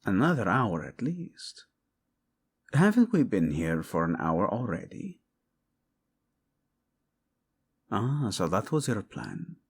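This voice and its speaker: A man acts out a devoted royal lover in a romantic audio drama. His delivery is slow and deliberate, with big swings in pitch. He is intimate and teasing, yet protective and used to giving orders, dropping in Spanish endearments. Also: very animated, husky, full character voice. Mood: playful, flirtatious. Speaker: voice actor. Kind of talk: romantic audio roleplay drama addressed to the listener.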